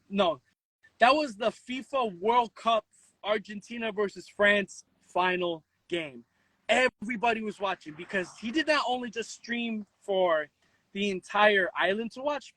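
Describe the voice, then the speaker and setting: A man speaks in a high, sharp voice, recorded close and dry. He is an interview guest telling stories casually, with slang and strong opinions. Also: dry recording, poor audio. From the Bronx, New York. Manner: animated storytelling, slangy, switches into Spanish. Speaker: interview guest, content creator.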